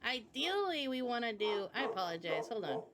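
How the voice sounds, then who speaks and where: A woman's voice: a little high, sing-song, fairly quick, background noise. A woman hosting a casual livestream Q&A as a game developer, talking informally and directly to viewers.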